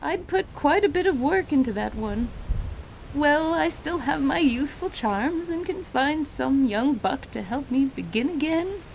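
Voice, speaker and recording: High-voiced, slow, teasing old granny. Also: female voice, lively intonation, gravelly, darkish tone, a little hiss or hum, exaggerated voice, poor audio. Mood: dry, playful. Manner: quaint, folksy, theatrical phrasing. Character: teasing elderly human grandmother, small and wrinkled, fondly scolding. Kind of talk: dramatized radio theater acting.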